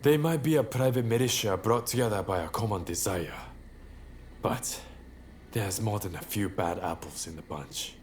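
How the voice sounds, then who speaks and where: An actor playing a bold, charming young samurai rebel leader in a video game cutscene, relaxed and self-assured. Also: male voice, lowish voice, moderate pace, slight background noise, close to an everyday voice. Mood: grave, resolute.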